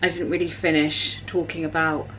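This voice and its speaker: A woman's voice: low voice, level tone, normal speed, fairly bright tone, slight background noise, poor audio. Chatty woman vlogging at home. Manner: chatty, exasperated storytelling with swearing. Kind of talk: casual chat to camera. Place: home kitchen, indoors. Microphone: camera at arm's length.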